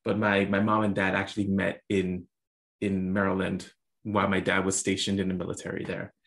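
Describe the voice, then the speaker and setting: Young guy from the virgin islands, low gravelly voice, talks it through with lots of um and you know. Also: monotone, a little fast, fairly bright tone. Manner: thoughtful, many fillers and restarts. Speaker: author guest. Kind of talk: casual interview answers.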